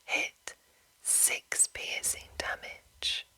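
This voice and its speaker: A woman whispering in a breathy, hushed and deliberate way, reading aloud in an ASMR style. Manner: slow, hushed, deliberate phrasing. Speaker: host of a whispered ASMR channel. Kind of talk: whispered ASMR reading of a game manual with casual asides.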